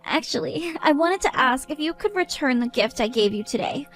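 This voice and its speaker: Woman voicing a high, giggling drunk troublemaker, sing-song taunts over soft music. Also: expressive, normal speed. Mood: mocking tipsy.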